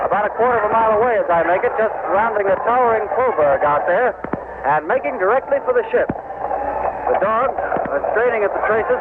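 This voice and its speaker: Male vintage radio war-style field reporter, high register, formal and emphatic, narrow tinny band. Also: very noisy. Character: vivid, formal male radio correspondent on a ship's deck. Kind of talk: old-time radio docudrama reporting.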